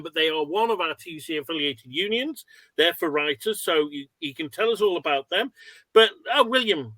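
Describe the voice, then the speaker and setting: A man's voice: high voice, sharp tone, dry recording, poor audio. A man hosting an online webinar with an informal, chatty and encouraging introduction. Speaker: webinar host. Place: online video call.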